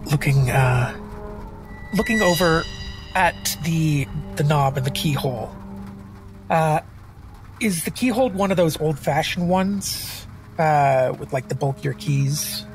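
A man's voice: fairly high pitch, slow, long pauses, over music. A man playing a tabletop game, casually improvising what his character does in a hesitant, thinking-aloud way. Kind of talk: casual improvised roleplay narration.

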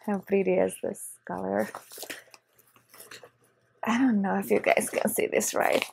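Hungarian-accented woman with a gravelly voice. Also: mid-range voice, unhurried, slight background noise. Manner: chatty, excitable, with short laughs. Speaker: vlogger. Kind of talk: casual unboxing vlog. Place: living room on a leather couch, indoors.

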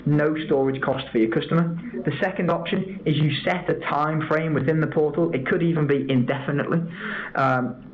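A man's voice: a little high, steady tone, fairly quick. A man giving an informal webinar presentation as a product trainer, explaining step by step with examples. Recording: dull lo-fi audio with background noise.

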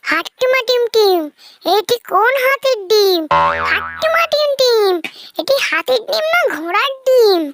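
A performer voicing a mischievous, always hungry trickster fox with a childlike, squeaky, singsong delivery in a children's fairy-tale cartoon. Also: lively intonation, measured pace, fairly bright tone, heavily acted. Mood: eager, amazed. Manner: singsong, repeats a nonsense rhyming catchphrase.

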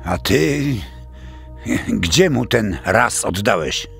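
A solemn old pagan elder in an audio play. The voice is gravelly and slow, swooping theatrically up and down. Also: male voice, fairly low pitch, sing-song, fairly bright tone, soft background music, heavily acted. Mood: solemn, commanding. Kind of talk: dramatic audio play acting.

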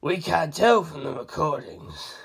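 A reader voicing a grave, matter-of-fact male investigator in a flat, deliberate manner for a story. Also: medium pitch, gravelly, breathy edge, full character voice.

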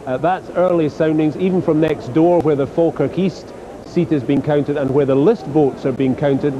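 A middle-aged male reporter giving a brisk live report from an election count in a sports hall. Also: fairly high pitch. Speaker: field reporter. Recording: dull broadcast audio with hall noise.

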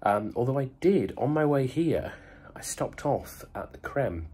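A man with a cold, talking quietly in a hotel room in a casual vlog update, with many ums and hesitations. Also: mid-range voice, animated, unhurried, slightly rough. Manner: speaks softly, hushed, many ums. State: bunged up with a cold. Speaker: vlogger.

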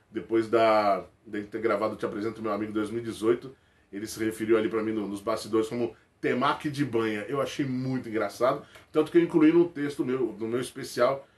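A man in his forties, a comedian from Rio de Janeiro, chatting to camera and answering viewer questions in a small room. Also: mid-range voice. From Rio de Janeiro, Brazil. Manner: animated, jokey, laughs often, slangy. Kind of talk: casual chat to camera. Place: small indoor room with toy shelves. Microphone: webcam close to face.

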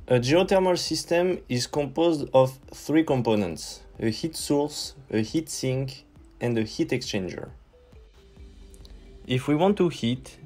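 A man's voice: mid-range voice, darkish tone. French-accented man explaining heat pumps. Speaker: host. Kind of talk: scripted explainer narration.

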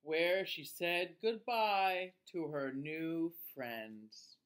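A man in his thirties reading a picture book aloud to children like a teacher, with an exaggerated, drawn-out delivery. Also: a little high, a bit bright, clean tone. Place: small indoor room, seated facing camera.

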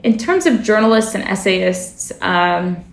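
A woman's voice: mid-range voice, big pitch swings, measured pace, creaky, reverberant. A woman journalist whose first language is Russian, talking reflectively in an interview, with frequent fillers and self-corrections. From family from the former Soviet Union. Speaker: journalist and podcast guest.

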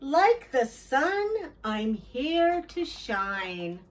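Children's read-aloud host: middle-aged woman, higher voice, very slow deliberate phrasing, recorded away from the mic. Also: big pitch swings, recorded from a distance. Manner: deliberate, clearly phrased, addressing young children. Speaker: children's storytime host and teacher. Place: small decorated classroom-style storytime corner, indoors.

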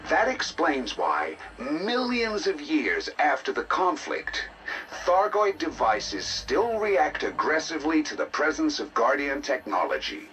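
A keenly curious scholar of ancient alien ruins in a sci-fi game. His delivery is articulate and academic, with lively, swooping intonation and a bright, crisp-edged tone. There is faint noise behind him. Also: fairly high pitch, measured pace, heavily acted, rough recording. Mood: intrigued, explanatory. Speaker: mission contact explaining decoded logs. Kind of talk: scripted game narration, expository.

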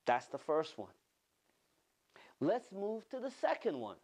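A man in his thirties, a pastor delivering an animated online sermon from a studio, repeating words for emphasis. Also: high voice, slow pace. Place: studio with green-screen backdrop.

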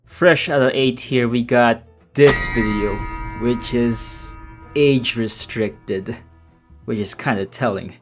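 A man doing casual, deadpan narration as the host of a meme tier-list video. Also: mid-range voice, slow, faint music behind.

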